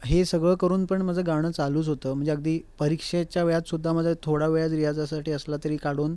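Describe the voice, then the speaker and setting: A man's voice: fairly high pitch, flat delivery, fairly quick, mellow, clear, resonant tone, no echo. A young man, answering interview questions conversationally as a guest singer and slipping in English phrases. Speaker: guest vocalist being interviewed. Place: recording studio.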